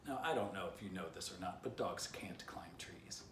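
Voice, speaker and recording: A man with a fairly low voice reads a children's story aloud, chatting playfully to the listener. The recording is echoey and a bit distant from the mic, with faint noise. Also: expressive, moderate pace, fairly bright tone. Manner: playful direct address to the listener. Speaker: author reading aloud.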